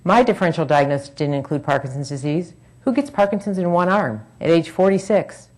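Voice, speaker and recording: Woman, forties, deep voice, earnest prepared talk, frank with dry asides. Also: a little fast, a little mellow. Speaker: speaker at a public forum. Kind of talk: reading a prepared personal talk.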